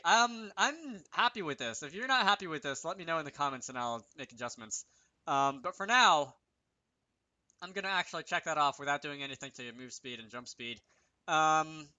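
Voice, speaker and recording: A man's voice: fairly high pitch, pushed and bright, no echo. A man giving casual, unscripted commentary over a screen recording, hesitant and thinking aloud as he works. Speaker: game developer hosting a tutorial series.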